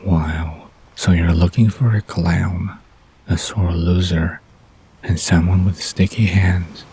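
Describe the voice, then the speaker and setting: A man's voice: low voice, fairly even intonation, slow, dark tone, a little hiss or hum. A man playing an amused, teasing young man with a laid-back manner in a romantic audio drama.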